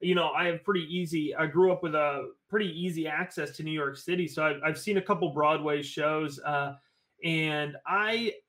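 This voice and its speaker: A man's voice: fairly high pitch, normal speed, a bit bright. A man from Connecticut, a podcast guest, talking casually and enthusiastically and often repeating himself as he rambles on. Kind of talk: casual podcast chat about fantasy football.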